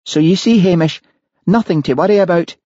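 A man's voice: high voice, brisk, dark tone, no echo, voice pushed up. A man voicing Mary, a woman insisting her husband is not so bad. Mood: insistent. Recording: dull lo-fi, dry.

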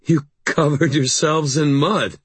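Man as a wry, tough woman, raised pitch. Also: fairly even intonation, slow, husky, no echo. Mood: wry, exasperated.

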